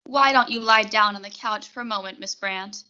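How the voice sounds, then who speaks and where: A woman's voice: mid-range voice, moderate pace, pushed and bright, lo-fi sound, natural voice. A young woman playing a prim, formal, coolly deferential secretary in a 1940s-style suspense radio play. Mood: calm, placating. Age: young adult.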